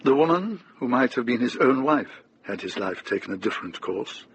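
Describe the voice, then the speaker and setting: An actor narrating as a grave, measured storyteller in a scripted radio drama. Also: male voice, mid-range voice, lively intonation, a little hiss or hum, lightly acted.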